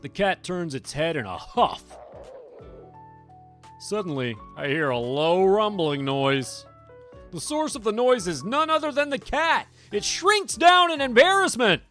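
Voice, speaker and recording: A woman streamer reading a visual novel aloud with expressive, dramatic storytelling. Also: mid-range voice, measured pace. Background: game music.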